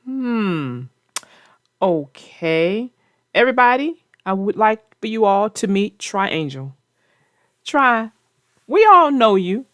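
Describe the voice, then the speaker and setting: A woman hosting a children's read-aloud show, chatting about a book with young readers in deliberate, emphatic phrases. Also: mid-range voice, very animated, fairly bright tone, no room sound, clean studio sound.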